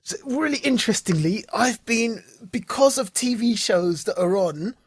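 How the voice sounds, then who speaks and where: A man co-hosting a podcast in casual chat, excitable, laughing often and tripping over repeated words. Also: sits high, moderate pace, slightly rough, no echo. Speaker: podcast co-host.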